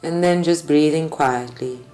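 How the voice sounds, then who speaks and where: Meditation guide, female, unhurried delivery with long pauses, soft music underneath. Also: sits low, low-quality recording. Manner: deliberate, measured phrasing with long pauses. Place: group meditation at a creativity centre. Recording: live recording with music behind. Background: faint music.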